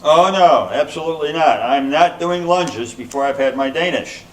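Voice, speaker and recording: A man's voice: on the high side, moderate pace, close to an everyday voice. A man playing a grumpy, suspicious skeptic in amateur readers theater, complaining with dry sarcasm. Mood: grumbling, sarcastic. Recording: echoey room from far off, faint noise.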